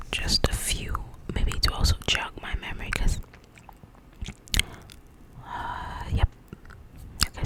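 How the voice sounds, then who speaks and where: A woman whispering in an ASMR style as she casually chats about a book in a rambling, animated, informal way. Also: poor audio. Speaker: ASMR creator reviewing a book.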